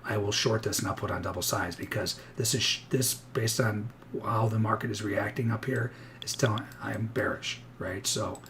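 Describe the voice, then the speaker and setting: Man, slightly hoarse, lowish voice, chatty live trading commentary with clear noise underneath. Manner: chatty, thinks aloud, many restarts. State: a little hoarse. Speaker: futures day trader streaming live.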